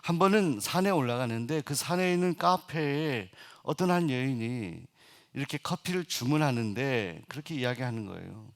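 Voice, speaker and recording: A middle-aged man preaching a Sunday sermon as senior pastor. He hesitates often with filler sounds, then builds to loud, emphatic passages. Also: mid-range voice, lively intonation, moderate pace, creaky.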